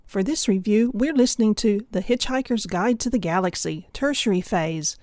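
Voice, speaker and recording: A woman's voice: mid-range voice, normal speed, a little mellow, no echo, clean studio sound. Woman reading an audio review. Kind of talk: scripted review narration.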